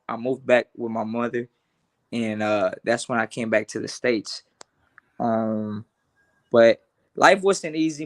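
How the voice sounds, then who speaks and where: A young man who grew up between Los Angeles and Honduras, speaking earnestly and casually in an interview, often repeating words as he starts a thought. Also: mid-range voice. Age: young adult. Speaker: boxer, interview guest.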